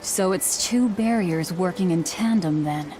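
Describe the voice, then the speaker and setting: A woman acts a stern young outlaw in a video game. Her voice is slightly low, cool and dry, with a curt, protective firmness over background music. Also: unhurried, lightly acted.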